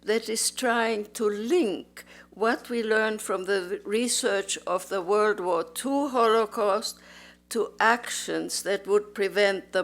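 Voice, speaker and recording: A woman in her nineties, born in Austria, giving a slow, measured, formal speech as a museum volunteer in a museum auditorium. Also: mid-range voice, gravelly. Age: 90s. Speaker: museum volunteer and Holocaust survivor. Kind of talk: prepared public speech.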